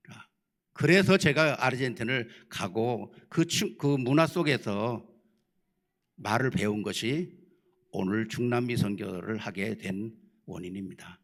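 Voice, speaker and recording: A Korean man giving a grateful missionary testimony at a church panel, talking earnestly and stopping now and then to restart. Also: mid-range voice.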